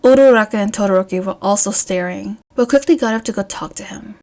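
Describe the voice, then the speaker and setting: A woman's voice: mid-range voice, quick pace, darkish tone. A woman reading a scripted story aloud as an audiobook narrator. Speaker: fan fiction audiobook narrator.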